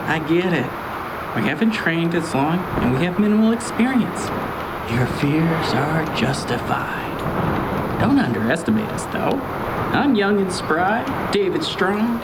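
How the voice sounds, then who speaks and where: Cocky eighteen-year-old male wisecracker, played by a man, swooping pitch, sarcastic audiobook dialogue under loud ambience. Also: lively intonation, measured pace, very noisy. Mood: cocky, teasing. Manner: mouthy, theatrical complaining and joking. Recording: loud ambient sound effects behind.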